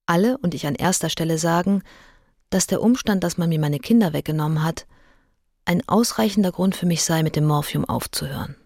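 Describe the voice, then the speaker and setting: Radio drama mother, fearful and burdened, gravelly low voice, close dry sound, measured introspective diary reading. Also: darkish tone, no echo. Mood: somber, reflective.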